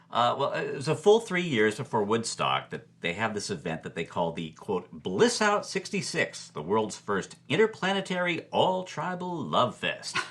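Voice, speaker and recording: Guy storyteller, wry n chatty, pitch all over the place. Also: a bit bright.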